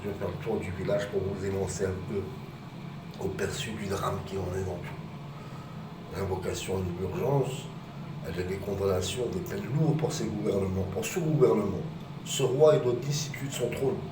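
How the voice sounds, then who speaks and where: A man filming himself on a phone outdoors near his home, rambling and agitated, rising to shouted accusations. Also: sits low, moderate pace. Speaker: vlogger. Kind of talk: rambling phone-filmed rant. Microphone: phone camera. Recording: roomy distant outdoor phone recording with loud ambient noise.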